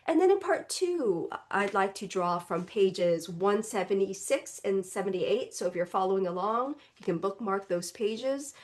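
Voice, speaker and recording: A woman reverend giving a contemplative Sunday talk, her voice congested as she recovers from illness, speaking gently and reflectively and often adding "right?". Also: mid-range voice, expressive, measured pace, hardly any pauses. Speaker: reverend and spiritual teacher.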